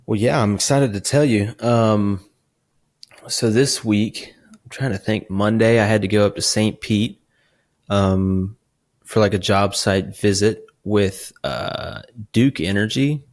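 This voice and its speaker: Low-voiced laid-back male co-host. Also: slow pace. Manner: relaxed storyteller, many ums and likes, dry humor. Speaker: podcast co-host. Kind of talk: casual chat.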